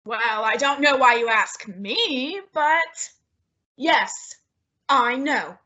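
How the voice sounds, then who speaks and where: A woman playing an excitable, indignant young housewife in a radio sitcom, with theatrical comic delivery. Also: high-pitched, very slow, dull audio, exaggerated voice. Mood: coy, pleased. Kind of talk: 1950s radio sitcom acting.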